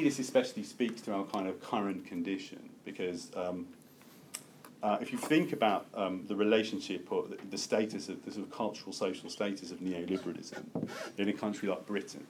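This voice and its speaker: Lowish voice guy lecturing a seminar, keeps restarting n thinking out loud, bit of background noise. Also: animated. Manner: halting, self-correcting, thinking aloud. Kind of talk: academic lecture with open discussion. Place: seminar room with a group. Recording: noticeable background room noise.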